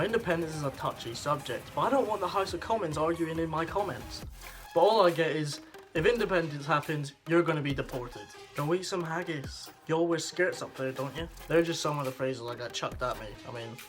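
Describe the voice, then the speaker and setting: A young man from the Scottish Highlands, his Scottish accent a little softened by years in England, chatting wryly and bluntly to camera in a casual vlog. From Scotland. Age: young adult. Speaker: vlogger.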